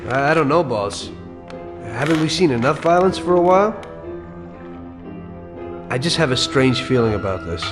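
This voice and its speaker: A man plays a disillusioned American gangster in a crime game. His mid-pitched voice is earnest and world-weary, reflective in the way he talks. Music is clearly heard underneath. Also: animated, moderate pace, pauses often, close to an everyday voice. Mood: uneasy. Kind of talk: video game cutscene acting. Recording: music behind the dialogue.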